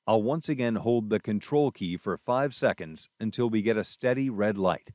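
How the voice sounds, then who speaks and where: Low-voiced man presenting a tutorial. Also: no room sound, studio quality. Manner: methodical, instructional. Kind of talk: scripted step-by-step tutorial narration.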